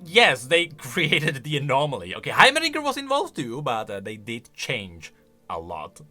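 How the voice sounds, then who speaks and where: A man giving unscripted reaction commentary on game voice lines in an animated, exclamatory way. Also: medium pitch, normal speed, faint music behind. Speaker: YouTube commentator.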